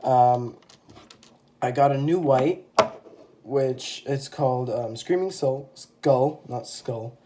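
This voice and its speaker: A man's voice: mid-range voice, slow, noisy background. A young man with a stuffy, congested voice from a cold, casually showing off a custom toy figure with lots of ums and self-corrections. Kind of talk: casual show-and-tell. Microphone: phone camera.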